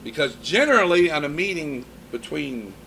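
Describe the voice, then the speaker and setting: A man's voice: a little high, very animated, normal speed, creaky, bright, forceful tone, slight background noise, poor audio. A man in his fifties preaching a sermon in a conversational way, mixing scripture with personal stories. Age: 50s.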